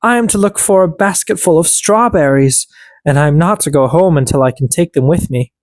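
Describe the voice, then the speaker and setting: A storyteller voicing a gentle, mistreated young girl with a meek, plaintive manner in a fairy tale. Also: mid-range voice, fairly quick, no room sound, natural voice.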